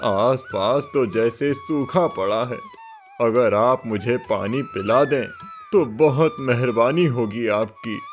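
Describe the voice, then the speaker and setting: Man as old fakir, devout, grateful, humble, reverent, cartoon story, medium pitch, background music. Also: lively intonation, takes it slowly. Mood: weary, humble. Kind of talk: Hindi cartoon moral story acting. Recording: music bed under the voice.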